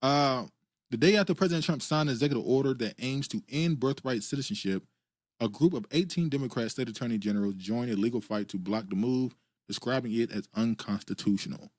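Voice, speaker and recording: A man's voice: medium pitch, brisk, no echo. Laid-back man hosting a show. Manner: relaxed, laughing, filler-heavy. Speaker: show host. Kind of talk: casual commentary reacting to clips.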